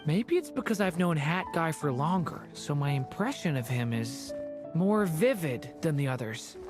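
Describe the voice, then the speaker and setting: Man as youthful game dragon in human form, lively intonation, gentle, polite, earnest. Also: a little high, moderate pace, music in the background, natural voice. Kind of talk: video game voice acting.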